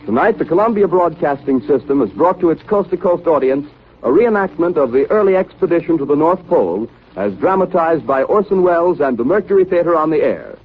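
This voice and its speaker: A man's voice: fairly high pitch, expressive, brisk, slight background noise. A man with an American accent making formal, scripted network announcements in the style of 1930s radio. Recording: tinny, hazy 1930s radio broadcast recording.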